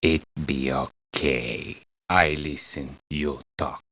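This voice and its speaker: A very deep, forceful island mercenary. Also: male voice, steady tone, takes it slowly, no echo, exaggerated voice. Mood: blunt, reluctant. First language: not English. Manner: broken English with dropped verbs, short sentences. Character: stern, plain-spoken male island mercenary, easily offended. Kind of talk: video game character voice lines.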